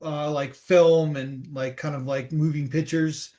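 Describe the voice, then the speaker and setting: A man hosting a podcast interview, asking relaxed and encouraging questions with filler words. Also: fairly high pitch, a little slow, a little hiss or hum. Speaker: podcast host.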